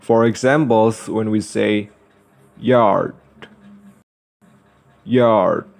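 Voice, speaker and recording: A young man reads a scripted lesson as a student presenter, enunciating carefully and deliberately. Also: fairly low pitch, vocal fry, a little mellow. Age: young adult.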